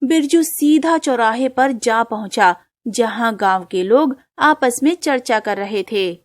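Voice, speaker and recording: A woman reading scripted narration for an animated story. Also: on the high side, measured pace, no room sound, studio quality.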